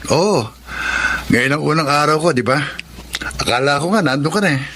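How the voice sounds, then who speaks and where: A man's voice: on the high side, lively intonation, moderate pace, bright, forceful tone, a little hiss or hum. An actor playing an earnest, naive man in need of work in a Filipino radio drama, hesitant, with a Filipino accent. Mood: earnest, puzzled. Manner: hesitant, stammering when questioning.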